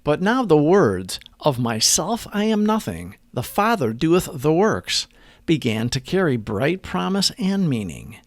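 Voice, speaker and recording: A man reading a scripted daily meditation and reflection in an earnest, measured manner. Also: on the high side, big pitch swings, dry recording.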